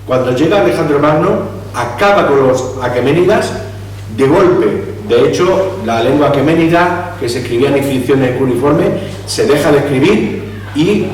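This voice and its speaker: A man's voice: medium pitch, animated, normal speed, a little mellow. A man gives a public history lecture. He talks discursively, restarts words and asks rhetorical questions. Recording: echoey hall, distant mic, faint hum.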